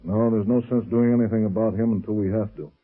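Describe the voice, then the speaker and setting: An actor playing a domineering, worried racketeer boss, curt and blustering, in an old 1930s radio drama recording. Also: male voice, lowish voice, steady tone, fast talker, natural voice. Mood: scheming, uneasy. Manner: curt, blustering, hard-boiled. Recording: muffled, band-limited old radio recording.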